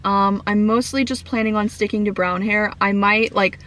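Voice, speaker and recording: A young woman vlogging to camera in a rambling casual chat full of fillers, her voice croaky from a lingering cough. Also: medium pitch, steady tone, moderate pace, fairly bright tone. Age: young adult.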